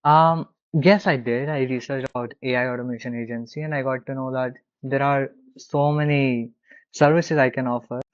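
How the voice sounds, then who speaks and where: A man's voice: medium pitch, fairly even intonation, no room sound, muffled recording. Hesitant Indian man on a call. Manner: hesitant, halting with many fillers. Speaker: consulting client. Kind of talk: casual video call. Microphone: video call.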